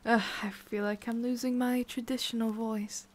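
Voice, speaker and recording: A woman voicing a haughty, prickly witch with a hidden soft side in an ASMR roleplay, soft and often whispery with a creaky edge. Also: fairly high pitch, level tone, normal speed, slight background noise, close to an everyday voice. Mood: softening, coy. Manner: soft, often whispery, breathy sighs, curt asides.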